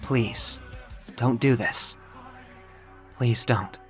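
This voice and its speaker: A man voicing a gentle, mysterious young woman who pleads softly and earnestly in an audio comic drama. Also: medium pitch, extremely slow, soft background music. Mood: reassuring, pleading.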